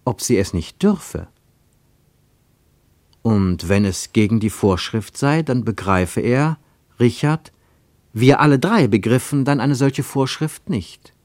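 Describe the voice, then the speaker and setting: A man reading scripted literary narration for a radio play in a measured, precise and expressive storytelling manner. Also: medium pitch, dry recording, clean studio sound.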